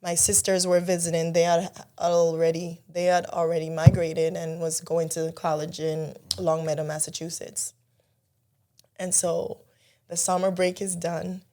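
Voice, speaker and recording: Guest: woman, slightly low voice, earnest storyteller in casual talk. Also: measured pace. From Jamaica. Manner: earnest, storytelling, animated when recalling childhood. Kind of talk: casual podcast conversation.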